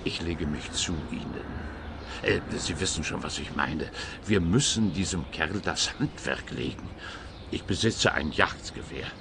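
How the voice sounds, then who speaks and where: An actor playing an indignant, pompous, bumbling and slightly lecherous neighbour in a comic radio play, blustering and trailing off. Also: male voice, sits low, animated, measured pace, gravelly, noisy background, heavily acted. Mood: scheming, blustering. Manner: blustering, hesitant trailing phrases.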